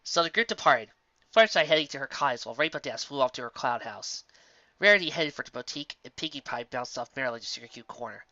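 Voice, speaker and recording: A woman narrating a fan-fiction story in an expressive storybook style. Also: extremely low pitch, fast, dry recording. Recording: dull, muffled audio.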